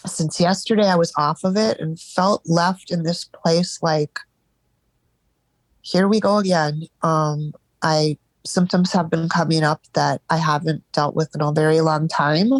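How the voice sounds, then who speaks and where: A woman in a live therapy session over a call, speaking haltingly and searching for words, stuffed up from crying earlier. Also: low voice, steady tone, no room sound, low-quality recording. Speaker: therapy client.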